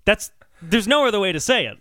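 A man co-hosting a comedic podcast, bantering in an animated, mock-argumentative way. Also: sits high, fast, no room sound, clean studio sound.

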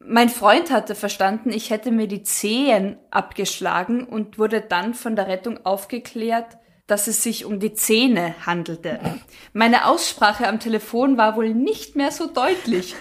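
A woman with an Austrian accent co-hosting a podcast, chatting casually and reading listener messages in an animated, chatty way. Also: a little high, unhurried. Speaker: podcast co-host.

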